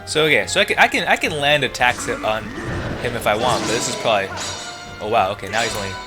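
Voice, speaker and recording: A man's voice: medium pitch, animated, fast. A man giving casual let's play commentary, thinking aloud and reacting to the game as he plays. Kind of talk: casual gameplay commentary, reading dialogue aloud. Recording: game music behind the voice. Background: video game music.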